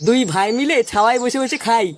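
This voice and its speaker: A man's voice: extremely high pitch, fast talker. A man voicing a lazy, crude, bossy older buddy in a casual comic cartoon dub.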